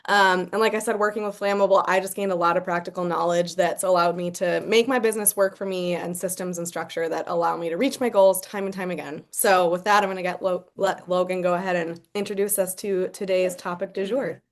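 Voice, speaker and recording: A woman's voice: on the low side, fairly even intonation, fairly quick, few pauses. A woman muralist and illustrator, speaking as a guest on a webinar in a chatty, friendly way. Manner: chatty, friendly, many fillers. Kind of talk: casual introduction on a webinar.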